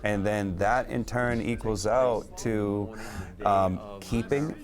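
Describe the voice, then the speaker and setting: A man from a web design company talking casually in an interview about his work and clients, in a relaxed businesslike way. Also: lowish voice, takes it slowly, crowd noise. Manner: relaxed, businesslike, uses sports metaphors.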